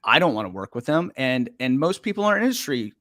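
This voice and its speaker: An enthusiastic middle-aged man hosts a podcast chat, his voice mid-pitched. The sound is dry, with no room echo. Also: very animated, quick pace, a bit bright. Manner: enthusiastic, with restarts. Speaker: podcast host. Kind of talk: conversational interview hosting.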